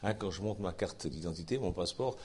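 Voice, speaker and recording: A man's voice: low voice, a little fast, breathy edge. A man from Switzerland with a Swiss French accent, preaching an informal Bible sermon in a folksy, chatty way with asides to his listeners. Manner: folksy, chatty asides, lively rising emphasis.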